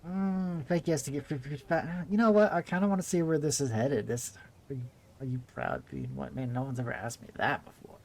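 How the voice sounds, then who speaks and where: A man's voice: fairly high pitch, brisk, a little mellow. A man giving casual playthrough commentary as a gaming host, in wry, muttered asides. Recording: faint noise, game audio behind.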